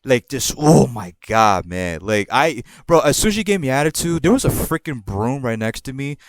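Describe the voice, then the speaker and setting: A podcast host with a New York accent, telling a story in a rambling, profane, animated way. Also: male voice, medium pitch, normal speed, no echo. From born in Puerto Rico, raised in the New York area. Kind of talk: casual storytelling.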